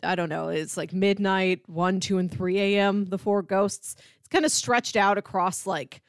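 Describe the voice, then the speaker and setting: A woman's voice: medium pitch, steady tone, unhurried, clean tone, no echo, studio quality. A woman co-hosting a film review podcast, explaining things in a loose, conversational way peppered with filler words. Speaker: podcast co-host. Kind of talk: casual film review chat.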